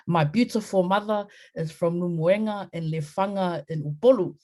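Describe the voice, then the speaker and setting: A speaker introducing themself on a video-call panel, energetic and chatty with frequent fillers. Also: medium pitch, normal speed. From New Zealand. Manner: warm, energetic, many fillers. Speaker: software engineer, panelist. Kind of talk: casual self-introduction.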